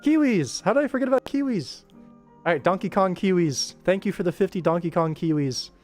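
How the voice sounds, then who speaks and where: A man's voice: high-pitched, animated, fairly quick, darkish tone, faint music behind. A man casually commentating on his animation work stream, thinking aloud and chatting informally with viewers. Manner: informal, rambling to himself and viewers.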